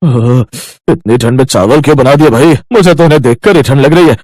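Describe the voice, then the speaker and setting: A man playing a demanding, complaining young husband or in-law with a brisk, entitled manner in a moral story. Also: medium pitch, a little mellow, no room sound, lightly acted.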